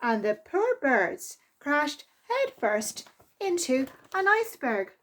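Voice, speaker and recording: A woman reading a picture book aloud to young children in an animated, expressive storytime manner. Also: high-pitched, slow. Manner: expressive, with exclaimed sound effects and asides to listeners. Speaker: library storytime reader.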